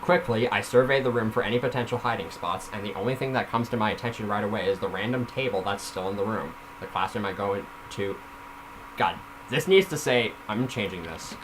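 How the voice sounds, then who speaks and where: A man with a fairly low voice reads a story aloud in an informal way, slipping between the text and his own critical commentary. The recording has clear background noise. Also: a little fast. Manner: informal, self-critical commentary while reading. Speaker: reader of their own story. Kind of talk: casual read-aloud of a story with off-script asides.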